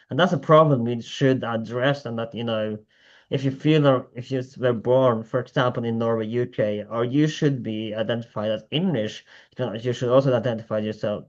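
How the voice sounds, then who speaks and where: A male podcast host speaks in a middle range, chiming in with agreement and restarting his sentences as he works through his thoughts. The recording sounds slightly muffled and lo-fi. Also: mid-range voice. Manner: frequent agreeing "yeah", halting restarts. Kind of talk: casual podcast conversation. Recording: dull lo-fi audio.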